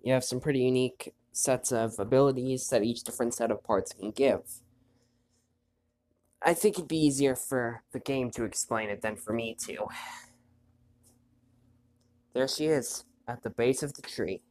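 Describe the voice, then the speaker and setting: Male let's-play host, stuffy nose, casual commentary, quietly reads game text. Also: moderate pace, pauses often. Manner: relaxed, chatty, reads story text in a quiet low voice. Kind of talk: casual gameplay commentary, reading on-screen text aloud.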